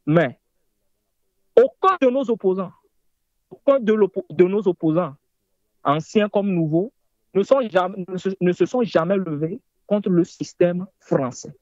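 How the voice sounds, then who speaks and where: A man's voice: sits high, lively intonation, a little slow, a little mellow, no room sound. A man in his thirties speaks with a raised, insistent voice, hammering each point with repetition. The sound is dry and close, yet muffled and lo-fi. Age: 30s. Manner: emphatic, rising to insistent repetition. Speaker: caller. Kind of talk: impassioned political call-in.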